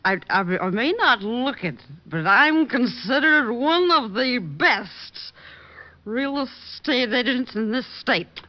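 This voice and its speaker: Brassy tipsy flirt, drawling and swooping. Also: female voice, fairly high pitch, big pitch swings, slow pace, dry recording. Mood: coaxing, flirtatious. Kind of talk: 1940s-50s radio acting. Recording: dull vintage radio recording.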